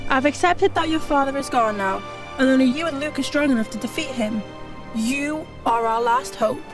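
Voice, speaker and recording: A woman playing a solemn, protective warrior mentor with an earnest, measured delivery in a sci-fi audio drama. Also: high-pitched, over music, natural voice.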